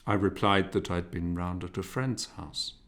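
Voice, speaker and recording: A man narrating a literary novel as an audiobook, in a measured, expressive, dramatic reading manner. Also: low voice.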